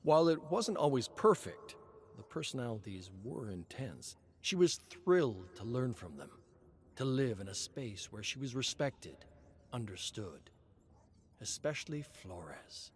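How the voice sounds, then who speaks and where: A man narrating a story in a measured, expressive reading. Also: medium pitch, slight background noise. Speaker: lore narrator.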